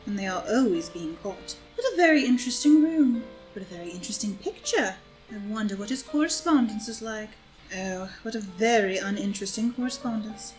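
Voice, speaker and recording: A woman's voice: medium pitch, lively intonation, a little fast, darkish tone, faint music behind, natural voice, low-quality recording. A woman playing an elegant, haughty and scheming society villainess in an audio drama reading, arch and disdainful.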